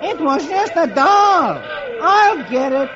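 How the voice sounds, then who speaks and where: A man's voice: extremely high pitch, very animated, takes it slowly, muffled recording, crowd noise, exaggerated voice. A man voicing a hapless, boyish young soldier, exclaiming his way through an old radio comic-strip reading. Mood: defensive. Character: hapless young male soldier, easily exasperated. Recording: band-limited old radio recording.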